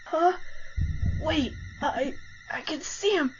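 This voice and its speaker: Woman as a young dog monster bully, high voice, brash, cartoon dub, dull lo-fi recording. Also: slow pace, a little hiss or hum. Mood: pleading, terrified. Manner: sneering, brash. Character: cocky young bully dog monster who turns cowardly. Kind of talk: animated comic dub acting. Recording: muffled lo-fi audio under music.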